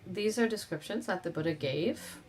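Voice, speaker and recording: A woman interpreting a question-and-answer session on a video call, speaking in a measured, halting way with pauses. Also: on the low side, a little mellow.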